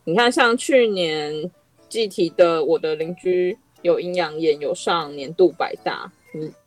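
A woman's voice: fairly low pitch, a little slow, faint music behind. A woman with a Taiwanese Mandarin accent chatting casually as a podcast host.

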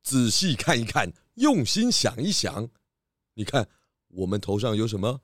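A man's voice: medium pitch, sing-song, a little slow, fairly bright tone, soft background music. A man playing a portly, confident pig detective in a children's detective audio drama, theatrical and full of exclamations. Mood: pondering, confident.

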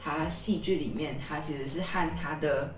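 Low-voiced Taiwanese woman podcaster in a roomy space. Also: normal speed. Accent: Taiwanese Mandarin. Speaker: podcast co-host. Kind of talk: casual conversational recap and commentary. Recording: roomy echo, slightly distant mic. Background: music.

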